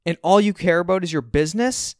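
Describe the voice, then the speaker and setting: A man plays a spoiled, dramatic grown son in an audiobook. The voice is pushed up high and emphatic, indignant and pleading, with a clean, smooth tone. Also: slow, no room sound, studio quality. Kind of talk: audiobook character dialogue.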